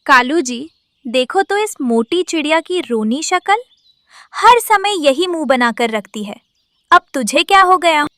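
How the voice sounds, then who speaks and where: A woman's voice: high-pitched, lively intonation, unhurried, sharp tone, dry recording, clean studio sound, heavily acted. A woman voicing a scheming, sneering female crow in a cartoon story. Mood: mocking.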